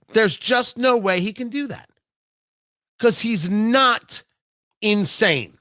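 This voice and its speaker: An American man hosting a talk show, giving an opinionated political monologue in an emphatic way, repeating phrases deliberately for effect. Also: high voice, a bit bright, dry recording, clean studio sound.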